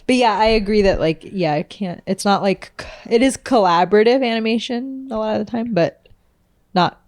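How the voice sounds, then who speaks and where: A woman's voice: medium pitch, normal speed, darkish tone, no echo, clean studio sound. A woman hosting a casual podcast, reading out numbered questions and answering her guest with short, murmured agreements. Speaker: podcast host. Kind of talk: casual interview chat.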